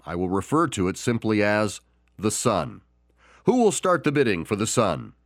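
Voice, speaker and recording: A man's voice: fairly low pitch, very animated, moderate pace, dry recording. A man voicing an insistent male auctioneer calling out bids in a dry, close recording.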